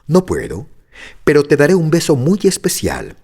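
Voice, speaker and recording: A man voicing a kindly little old witch woman who speaks in a gentle, measured way. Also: on the high side, wildly swooping pitch, vocal fry, husky, pitched above the performer's own voice, exaggerated voice.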